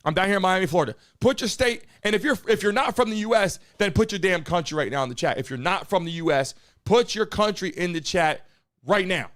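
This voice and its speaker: A man hosting a live stream from his studio, talking loudly and bluntly and hyping up his chat. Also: high voice, expressive, fairly quick. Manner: hype-man shouting at the chat. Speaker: live-stream show host. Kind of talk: loud, brash live-stream commentary. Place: home studio in Miami.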